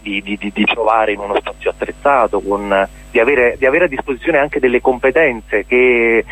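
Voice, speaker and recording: A man's voice: medium pitch, fairly even intonation, moderate pace, fairly bright tone, rough recording. A man explaining hesitantly in a radio interview, repeating words as he searches for the next. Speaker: interviewee, member of a cultural centre.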